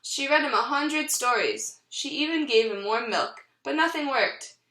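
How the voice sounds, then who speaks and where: Bright, high-voiced woman reading a storybook. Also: lively intonation, a little slow. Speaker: storybook reader. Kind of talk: expressive read-aloud of a children's picture book. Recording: echoey, roomy sound.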